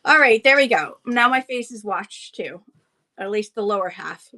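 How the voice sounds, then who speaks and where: A woman with a Canadian accent hosting a casual livestream lesson for sellers, chatty and quick to laugh between points. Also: mid-range voice, expressive, bright, forceful tone.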